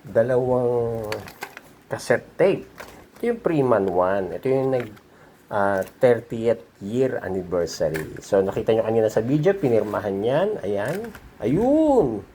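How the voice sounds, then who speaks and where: A man's voice: medium pitch, big pitch swings, measured pace, mellow, a little hiss or hum. A man with a Filipino accent hosting a hobby vlog, chatting informally and repeating himself as he shows things. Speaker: host of a vintage audio video channel. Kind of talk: casual show-and-tell vlog chat.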